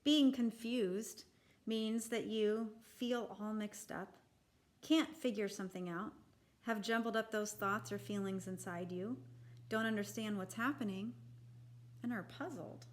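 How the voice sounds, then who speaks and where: A woman reading a children's picture book aloud in a deliberate, expressive, instructive way for young listeners. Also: mid-range voice.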